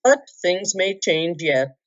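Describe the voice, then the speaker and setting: A woman's voice: lowish voice, lively intonation, slow. A woman voicing an old male wizard in a storybook, firm, dry and authoritative. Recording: dull lo-fi roomy recording.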